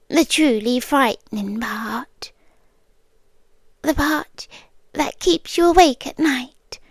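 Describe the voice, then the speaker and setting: A British woman playing a menacing, possessive yandere girlfriend in an ASMR roleplay, speaking with deliberate teasing pauses and breathy half-whispers. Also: high-pitched, no room sound, clean studio sound, exaggerated voice. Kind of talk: ASMR roleplay, slow intimate dramatic monologue.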